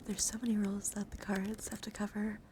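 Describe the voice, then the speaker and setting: A woman streaming ASMR in a soft, breathy whisper, speaking unhurriedly and intimately close to the microphone. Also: medium pitch, completely flat delivery, a little fast. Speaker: livestream host. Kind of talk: whispered ASMR livestream talk. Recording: close whisper with clear background noise.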